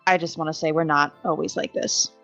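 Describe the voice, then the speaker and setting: A woman's voice: low-pitched, fairly even intonation, a little fast, vocal fry, fairly bright tone, faint music behind. A woman playing a tabletop game, speaking hesitantly and thoughtfully. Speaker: player. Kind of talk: casual tabletop roleplay. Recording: dry, dull lo-fi audio.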